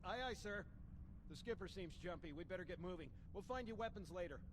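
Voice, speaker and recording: A man's voice: high voice, fairly quick, close to an everyday voice. A man playing an earnest, businesslike ship technician in a video game, speaking in a procedural, deferential way. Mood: uneasy. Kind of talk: video game cutscene acting. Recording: loud machinery and ship noise behind.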